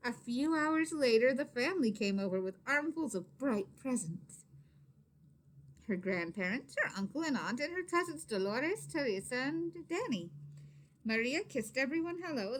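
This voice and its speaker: A woman reading a children's picture book aloud expressively, pausing to explain and put questions to young listeners. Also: on the high side, slight background noise. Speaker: read-aloud storyteller, teacher.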